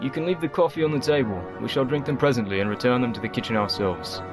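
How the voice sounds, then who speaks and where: An earnest gentleman physician acted by a man with a mid-range voice, sensible and weary. He phrases things formally, in the manner of radio drama, with music clearly audible behind. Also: mid-range voice, quick pace, close to an everyday voice. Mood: courteous. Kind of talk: radio play acting.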